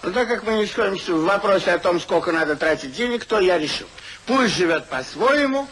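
A fussy, high-voiced elderly husband. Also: male voice, lively intonation, brisk, a bit bright, breathy edge, heavily acted. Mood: solemn, wounded. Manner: theatrical, emphatic, repeats himself. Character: fussy elderly husband, wronged, anxious, trusting English gentleman. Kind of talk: 1950s stage-style radio acting. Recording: noisy 1950s radio play recording.

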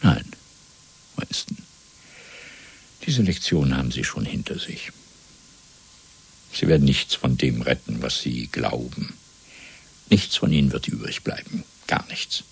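Zealous party interrogator, low husky voice, measured lecturing, menacing, radio drama. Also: male voice, expressive, heavily acted. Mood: cold, controlled. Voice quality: gravelly, husky. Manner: measured, lecturing, swelling from hushed to fervent. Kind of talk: German radio play acting.

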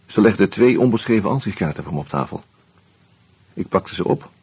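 An actor playing a reflective, wry man who tells his story in a radio play, in a musing, halting, conversational way. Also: deep voice, expressive, a little mellow, slightly rough, no room sound, exaggerated voice. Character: reflective male friend telling his story, wry, hesitant. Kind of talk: intimate first-person radio drama narration and dialogue.

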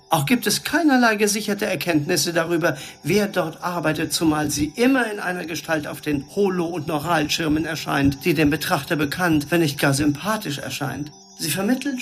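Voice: mid-range voice, close to an everyday voice. Haughty, sarcastic robot drone, voiced by an actor. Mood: pedantic. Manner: condescending, pedantic, drily mocking. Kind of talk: German science fiction radio drama acting.

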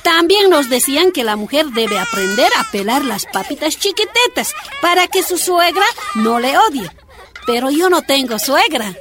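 A woman's voice: high voice, very animated, normal speed, noisy background. A woman playing a feisty, stubborn Bolivian miner's wife in a radio drama, with a Bolivian accent and cheeky delivery. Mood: defiant, teasing. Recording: music behind the voice.

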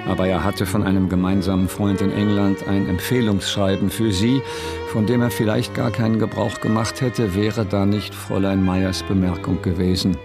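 A man narrating a literary radio drama in a measured, matter-of-fact way. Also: sits low, little pitch movement. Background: music.

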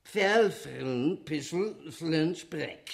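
A man's voice: fairly high pitch, animated, extremely slow, vocal fry, full character voice. An actor playing an insistent man who recites clipped, isolated words in an absurdist radio play. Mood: deadpan, clipped. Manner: staccato, isolated one-word utterances.

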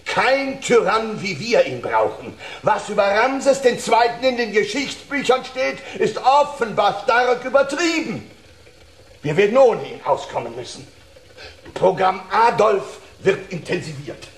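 A villainous mad doctor in a radio play, with a high voice that swings wildly up and down. He speaks slowly and melodramatically, giving orders like a tyrant in a roomy, echoing space. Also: big pitch swings, full character voice. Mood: scheming. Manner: melodramatic, theatrical, commanding. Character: megalomaniac mad scientist, ranting villainous doctor. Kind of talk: German radio drama acting.

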